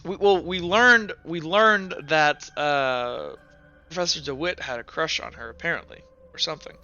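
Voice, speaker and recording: A man runs a tabletop game as game master, with a bright, clear voice set a little high. He improvises the story haltingly, circling back over phrases as he builds each scene. The audio is slightly dull, with music playing behind him.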